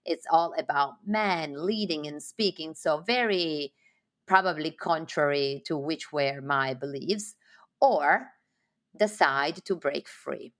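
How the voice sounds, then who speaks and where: Low-voiced Italian woman, enthusiastic. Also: takes it slowly, fairly bright tone. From Sardinia, Italy. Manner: enthusiastic, expansive storytelling. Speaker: podcast guest. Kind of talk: interview conversation.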